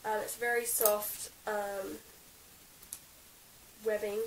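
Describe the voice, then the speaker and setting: A young Australian woman with a croaky, under-the-weather voice chats through her favourite gear, full of giggles, ums and likes. She sits a little back from the mic in an echoey room, with a faint hiss running underneath. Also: medium pitch, level tone, takes it slowly. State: croaky from being sick. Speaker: equestrian vlogger. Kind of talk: casual show-and-tell vlog. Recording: roomy, slightly distant with hiss.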